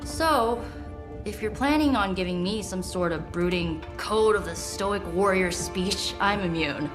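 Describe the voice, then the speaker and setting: An actress with an American accent playing a friendly young sorceress superhero in a video game, casual and teasing. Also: female voice, medium pitch, unhurried, over music, lightly acted. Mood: wry teasing. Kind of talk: video game cutscene acting.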